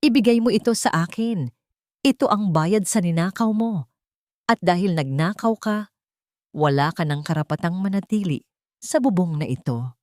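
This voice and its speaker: A woman's voice: fairly low pitch, expressive, moderate pace, long pauses, a little mellow, dry recording, studio quality, lightly acted. A woman voicing a cruel, domineering older mother-in-law who scolds and accuses in an audio drama story. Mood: angry, accusing. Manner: scolding, commanding.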